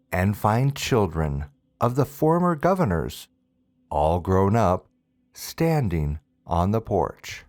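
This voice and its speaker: A man narrates a scripted ghost story as a storyteller, in a deliberate manner with dramatic pauses. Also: on the low side, a little mellow, no room sound. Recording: music bed behind the voice. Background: background music.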